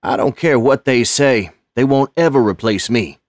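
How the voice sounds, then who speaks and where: A man voicing an older male janitor, gruff and shaken, with folksy slang. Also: mid-range voice, normal speed, dry recording, clean studio sound, voice pushed up, lightly acted. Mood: rattled. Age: 60s.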